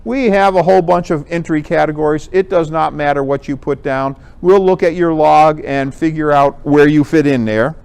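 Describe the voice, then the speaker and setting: Clear-voiced American man presenting. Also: fairly high pitch, moderate pace, hardly any pauses, darkish tone. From Connecticut, United States. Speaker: amateur radio contest organizer, presenter. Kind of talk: informal club presentation with slides.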